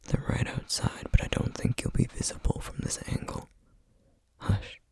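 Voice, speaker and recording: A man playing a protective, duty-bound flame demon in an ASMR roleplay, speaking in a hushed, gravelly, halting and intense way. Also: no echo, natural voice. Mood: urgent, protective. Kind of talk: ASMR roleplay, scripted character acting.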